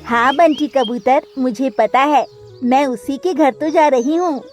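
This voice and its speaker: A woman's voice: high voice, unhurried, faint music behind. A woman voicing a practical, reassuring female sparrow friend in a cartoon story. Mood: agreeable.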